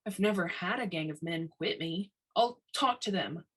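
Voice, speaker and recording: A woman's voice: fairly low pitch, normal speed, husky. Woman reading as a blunt, stubborn construction boss. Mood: worried. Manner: blunt, emphatic. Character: stubborn, harried male construction boss called Tiny.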